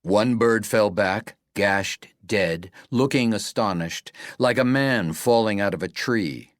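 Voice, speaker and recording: A man reading a novel as an audiobook narrator, in a measured, deliberate way with falling phrase endings. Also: lowish voice, dry recording, studio quality.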